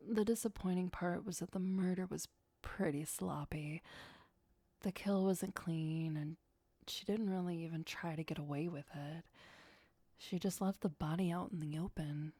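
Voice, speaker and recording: A woman playing a possessive, sweetly menacing vampire girlfriend who is centuries old, in a soft, intimate ASMR roleplay, mostly whispered and close to the microphone. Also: fairly low pitch, moderate pace, no room sound. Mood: disdainful, menacing. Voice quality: breathy, soft. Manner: coaxing, darkly playful, obsessive. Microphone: close, dry microphone.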